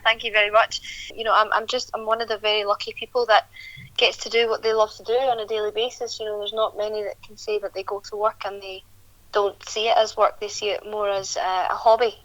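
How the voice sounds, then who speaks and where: A woman's voice: a little high, a bit bright, no echo, dull audio. A young woman, a country singer chatting at length in an interview, often restarting her sentences. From Scotland.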